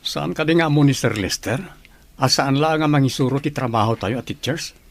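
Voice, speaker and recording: A man's voice: medium pitch, fairly quick, no echo, close to an everyday voice. A man with an Ilocano accent playing a patient, humble schoolteacher in an audio drama. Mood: concerned. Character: earnest, patient male schoolteacher, humble and hardworking. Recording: clearly noisy background.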